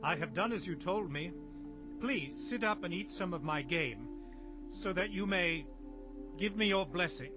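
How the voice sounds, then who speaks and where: An actor playing an anxious, deceitful younger son in a dramatised audio Bible. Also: male voice, high voice, lively intonation, measured pace. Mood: falsely assured. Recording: muffled audio with music behind.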